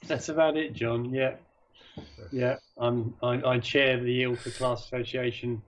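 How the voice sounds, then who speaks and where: A man's voice: mid-range voice, flat delivery, unhurried, slight background noise. A man being interviewed as a sailing class chairman over a video call, talking with many hesitations and restarts. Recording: dull video-call audio.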